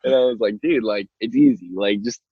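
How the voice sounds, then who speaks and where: Young American male band singer, low voice, rambling casual interviewee in a roomy recording. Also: a little fast, breathy edge. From Albany, New York, United States. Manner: rambling, peppered with "like" and "you know", laughs easily. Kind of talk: casual chat. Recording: muffled, roomy call-like audio.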